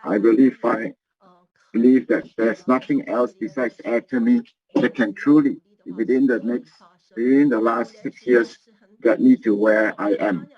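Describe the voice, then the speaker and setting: A man giving a motivational business talk, earnest and halting, often repeating and restarting his phrases. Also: medium pitch, fairly even intonation. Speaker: business presenter. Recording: muffled lo-fi recording.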